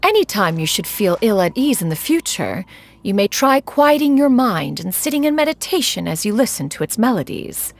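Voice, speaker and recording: An actress playing a haughty, ancient immortal in a video game, who speaks in formal, archaic, imperious diction. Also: female voice, mid-range voice, very animated, moderate pace. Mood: composed, stately. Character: haughty ancient crane immortal posing as an elegant woman. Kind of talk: scripted video game voice acting.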